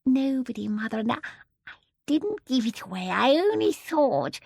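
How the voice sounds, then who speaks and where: A woman voicing a big, meek, frightened grown daughter in a storybook, speaking haltingly. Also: high voice, big pitch swings, gravelly, slightly rough, no echo, pitched above the performer's own voice, exaggerated voice. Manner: timid, halting, hushed.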